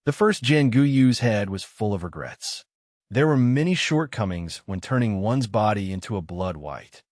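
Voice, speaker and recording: A man narrating a fantasy novel in a steady, dramatic reading as audiobook narrator. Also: fairly low pitch, moderate pace, no echo, clean studio sound.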